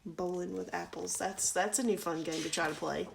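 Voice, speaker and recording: A woman's voice: on the low side, steady tone, moderate pace, vocal fry, slight background noise. Woman reading a storybook aloud. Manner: chatty asides to viewers. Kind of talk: casual live reading of a children's storybook.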